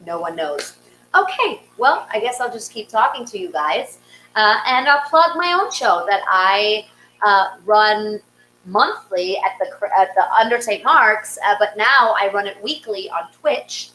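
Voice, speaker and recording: Female comedy host, animated and conversational, very bright voice in an echoey room. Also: medium pitch, unhurried. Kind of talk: casual comic storytelling and hosting. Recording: roomy echo, faint noise.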